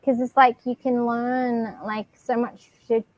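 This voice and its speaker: A woman's voice: a little high, level tone, a little slow, darkish tone. A woman tutoring English over a video call, asking simple, encouraging questions. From Australia. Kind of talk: online language lesson conversation. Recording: muffled video call with faint noise.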